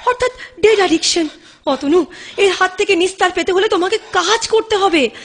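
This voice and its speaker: Woman playing a very high-voiced, caring wife. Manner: earnest, emphatic, with English phrases mixed in. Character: caring, firm, coaxing wife who is medically trained. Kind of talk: melodramatic audio drama acting.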